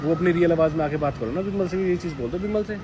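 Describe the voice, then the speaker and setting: A man's voice: on the high side, rapid-fire. Aggrieved young man, noisy recording. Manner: aggrieved, repetitive, insistent. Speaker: vlogger. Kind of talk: unscripted personal address to viewers.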